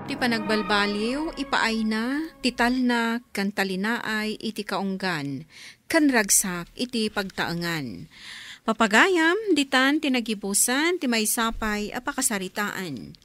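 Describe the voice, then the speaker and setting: A woman's voice: fairly high pitch, lively intonation, slow pace, fairly bright tone, dry recording, soft background music. A woman announcer reading a scripted closing narration for a radio drama.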